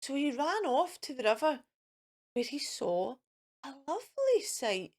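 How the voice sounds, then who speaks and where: A woman's voice: high voice, big pitch swings, a little slow. A woman hosting a children's storytime, reading a picture book aloud in a theatrical, sing-song way and speaking directly to the children.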